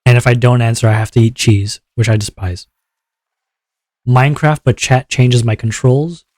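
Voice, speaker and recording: A man's voice: moderate pace, no echo. Chatty streamer man, dry close mic. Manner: upbeat, chatty, checks in with chat often; relaxed lower voice after a viewer redeem. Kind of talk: casual livestream chat explaining rules.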